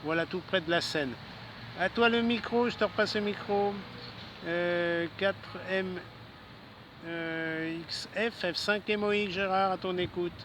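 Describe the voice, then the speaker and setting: A man's voice: high-pitched, unhurried. A man chatting casually as an amateur radio operator in a friendly way, speaking outdoors in a city. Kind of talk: casual ham radio exchange. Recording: outdoor city ambience and traffic noise.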